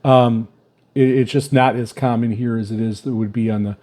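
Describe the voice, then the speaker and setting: A man with a soft-edged, relaxed voice at an average pitch gives an unscripted, casual review. His delivery is halting, with repeated words, small stammers and many filled pauses as he works through each thought. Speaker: video host reviewing radio gear.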